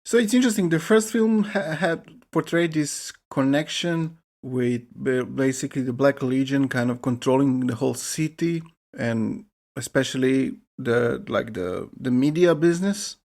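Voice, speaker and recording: A man's voice: mid-range voice, normal speed, gravelly, few pauses, darkish tone. A man co-hosting a podcast, casually analysing films in conversation. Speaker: podcast co-host. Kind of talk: casual analytical film discussion.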